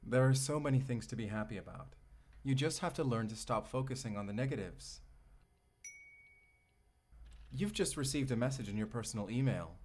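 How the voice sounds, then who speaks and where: A man's voice: medium pitch, fast talker, a little mellow, natural voice. A man playing a devoted, lovestruck AI companion in a romantic audio roleplay, tender, articulate and formally polite as he speaks intimately to the listener. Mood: eager, upbeat.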